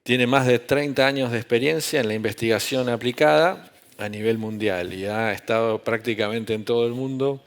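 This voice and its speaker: Mid-pitched man introducing a conference speaker. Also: normal speed. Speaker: session host introducing a speaker.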